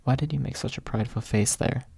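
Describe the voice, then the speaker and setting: An exasperated but good-natured young guy in a storybook reading, acted by a man. He retorts wryly and casually in a husky voice with some crackle. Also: medium pitch, fast talker, dark tone, no room sound, heavily acted. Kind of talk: ASMR audiobook character reading.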